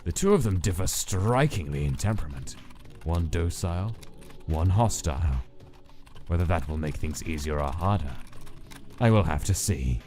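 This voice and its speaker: A man voicing a cold, clinical scientist who dictates experiment notes in a measured, detached, deliberate way for a comic dub. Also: sits low, animated, darkish tone. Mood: wary, clinical. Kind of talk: scripted comic dub, log-style narration. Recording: voice under prominent music.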